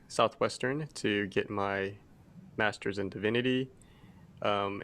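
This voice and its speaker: A man from Texas, a pastor and teacher, chatting casually on a podcast with frequent ums. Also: on the low side. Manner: relaxed, hesitant with ums.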